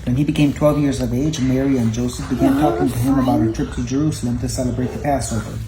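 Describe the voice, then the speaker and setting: A parent reading a children's Bible story aloud at home, gently and a little haltingly, repeating words now and then. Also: medium pitch, fairly even intonation, a crowd in the background, low-quality recording. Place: indoors at home. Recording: home recording with faint background noise.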